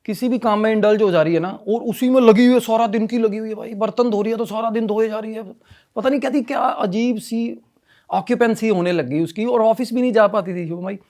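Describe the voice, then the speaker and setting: Higher-pitched man telling a story conversationally. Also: a little fast, a little mellow. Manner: repetitive, mixes Hindi with English phrases. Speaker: podcast guest telling a ghost story.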